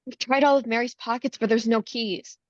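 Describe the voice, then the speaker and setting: A woman's voice: fairly high pitch, fairly even intonation, a little fast, highs cut off, lightly acted. A woman playing a desperate, vengeful young beauty queen in a horror script table read, speaking with urgency. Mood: urgent.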